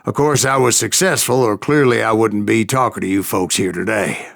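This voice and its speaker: Crusty old cowboy gunslinger, real gravelly, western drawl, salty wry video game storyteller. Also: male voice, fairly low pitch, fairly even intonation, normal speed, a bit bright. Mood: wry storytelling. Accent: American Western. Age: older. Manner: folksy, wry, salty-tongued raconteur. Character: grizzled old gunslinger spinning tall tales of his exploits.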